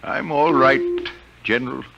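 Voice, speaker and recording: An actor playing an idealistic, stubborn, exasperated professor, earnest and emphatic, in a 1950s radio drama. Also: male voice, mid-range voice, fairly even intonation, measured pace, creaky, background noise. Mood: weary, insistent. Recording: dull 1950s radio recording.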